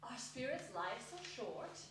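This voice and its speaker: A woman's voice: on the high side, very animated, unhurried, a bit bright. A woman playing a humbled, earnest old man pleading in a theatrical stage reading. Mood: anxious, curious. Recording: echoey hall, distant, faintly noisy.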